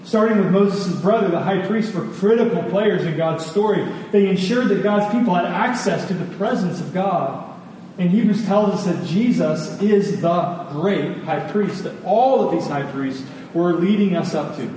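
A man's voice: high-pitched, moderate pace, mellow, very echoey, long reverb tail. Man, preacher, earnest sermon delivery, emphatic repetition, distant from the mic, faint background noise.

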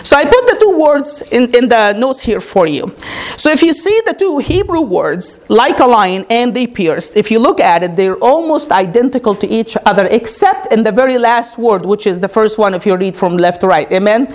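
A woman whose first language is Arabic delivers a teaching sermon. Her voice sits a touch high. She keeps checking in with the congregation as she explains. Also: lively intonation, moderate pace, a little mellow. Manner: calls "Amen?" to the congregation, explains step by step. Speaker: pastor.

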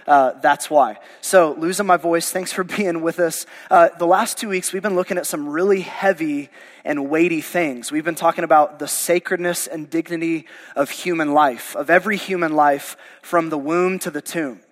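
A man's voice: a little high, steady tone, moderate pace, long reverb tail. A male pastor, losing his voice, preaching a verse-by-verse sermon in a conversational, informal way. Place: church sanctuary.

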